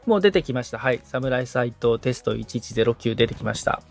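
Gravelly-voiced, agreeable man following along. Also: medium pitch, expressive, brisk, faint music behind. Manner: agreeable, reads out each step. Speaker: assistant co-host following instructions. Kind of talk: casual conversational walkthrough.

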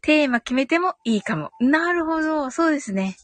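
A woman's voice: high voice, lively intonation, a little fast, a bit bright, no echo, soft background music. A woman hosting a casual live audio chat, giggly and chatty, reading out comments and murmuring agreement. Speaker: host of a live audio stream.

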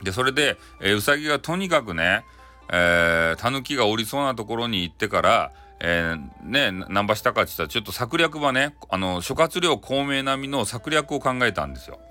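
A man's voice: on the low side, animated, fairly quick, sharp tone, soft background music. A man with a Hakata accent telling a folktale casually and playfully, chatty and full of spoken sound effects. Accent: Hakata Japanese. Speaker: storyteller, audio channel host.

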